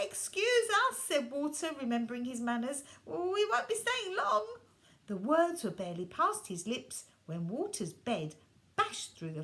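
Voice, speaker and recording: A woman reading a children's bedtime story aloud, gentle and expressive, sometimes dropping her voice soft. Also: fairly high pitch.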